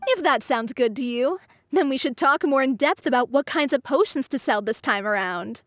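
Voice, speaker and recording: A woman's voice: high-pitched, animated, normal speed. A woman playing an eager, money-loving young merchant in a video game, with a persuasive salesperson's way of talking. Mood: businesslike. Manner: persuasive sales patter, prone to giggling. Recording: game music behind the voice.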